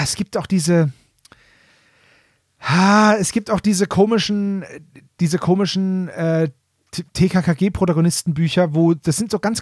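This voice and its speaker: A man co-hosting a podcast in casual chat, often halting and repeating the starts of his phrases. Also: high-pitched, darkish tone, no echo, clean studio sound. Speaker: podcast co-host. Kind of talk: casual chat about audio dramas.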